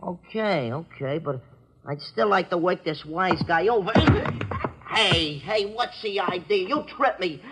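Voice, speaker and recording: Squeaky, mouthy young punk. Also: male voice, unhurried, loud music behind the voice. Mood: blustering, aggrieved. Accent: American. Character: young male gang underling, nervous yet mouthy punk. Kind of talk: 1950s American radio crime drama acting.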